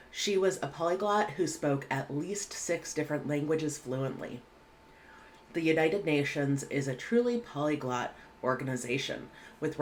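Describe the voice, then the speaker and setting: A woman, teaching English vocabulary in a clear, measured way with casual asides, recorded at home on a webcam. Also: low voice, slight background noise. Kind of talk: vocabulary lesson. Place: home room indoors.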